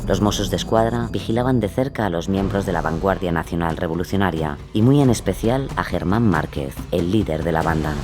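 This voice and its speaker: A man's voice: deep voice, fairly quick, hardly any pauses, darkish tone, faint music behind. A man reading scripted true-crime narration as a dramatic story's narrator.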